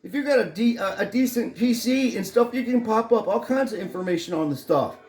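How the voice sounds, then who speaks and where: A middle-aged man with a hoarse voice, ranting angrily and profanely in a rambling home vlog. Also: high-pitched, expressive, fairly quick, darkish tone, roomy sound. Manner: angry, profane, rambling rant. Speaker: vlogger. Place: his home in Florida.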